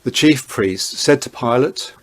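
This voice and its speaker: A man narrating a dramatized Bible reading in a measured, deliberate way. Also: mid-range voice, expressive.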